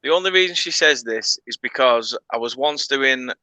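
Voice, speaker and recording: A man's voice: medium pitch, lively intonation, moderate pace, sharp tone, no room sound, poor audio. A man in his late twenties with a northern English accent, hosting a casual video-call chat with laid-back, self-mocking banter. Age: late 20s. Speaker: host.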